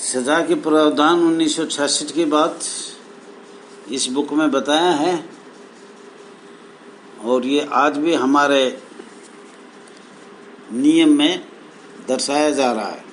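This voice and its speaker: A man reading official documents aloud and explaining them in a halting, phrase-by-phrase way. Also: on the high side, rough recording. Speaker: presenter explaining rules to fellow members. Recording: noticeable background noise.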